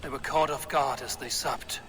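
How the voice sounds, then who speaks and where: An actor playing a stoic, blunt Viking warrior with a measured manner in a video game. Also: male voice, medium pitch, fairly even intonation, lightly acted. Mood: grave. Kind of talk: video game cutscene acting. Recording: clear background noise.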